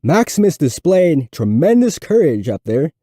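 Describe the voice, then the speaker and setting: A man's voice: on the high side, big pitch swings, normal speed, dark tone, dry recording, studio quality, pitched above the performer's own voice. A man playing an encouraging male fourth-grade teacher who addresses the class supportively in a story. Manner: supportive, praising, formal classroom speech.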